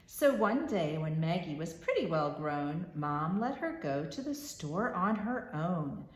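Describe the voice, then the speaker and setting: A woman reading a rhyming children's storybook aloud as storyteller, expressive and measured in a rhythmic way. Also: fairly low pitch, reverberant.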